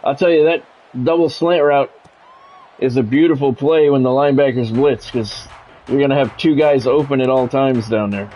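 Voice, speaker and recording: A man's voice: medium pitch, steady tone, moderate pace, dark tone. A man calls football play-by-play in short, clipped phrases. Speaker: play-by-play announcer. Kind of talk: American football game commentary.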